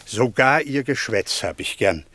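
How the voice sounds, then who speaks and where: A man's voice: mid-range voice, animated, measured pace, bright, forceful tone, no room sound. An actor playing an emphatic, opinionated middle-aged Greek townsman in a German radio play, speaking in eloquent, declamatory phrases. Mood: emphatic, impassioned. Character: opinionated Greek townsman, fond of his noisy city.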